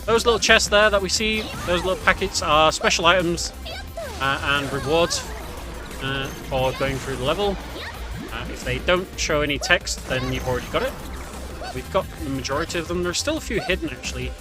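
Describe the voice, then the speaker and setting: Man, slightly high voice, casual gameplay commentary, explanatory, clear music in the background. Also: expressive, moderate pace. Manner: explanatory, speaking as "we". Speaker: speedrunner and game commentator. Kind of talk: casual video game walkthrough commentary. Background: game music.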